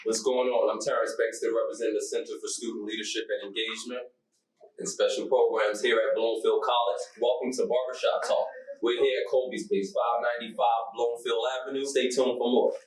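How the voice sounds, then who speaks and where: A man's voice: mid-range voice, flat delivery, fairly quick, slight background noise. A man in his thirties from the college staff, co-hosting a panel in a casual, upbeat way. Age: 30s. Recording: echoey room, mic at a distance.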